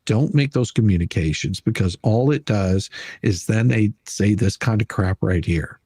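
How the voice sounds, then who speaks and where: A man hosting a live stream, giving emphatic, argumentative commentary with a husky voice and reading passages from a document aloud. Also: lowish voice, moderate pace, dark tone, dry recording, studio quality.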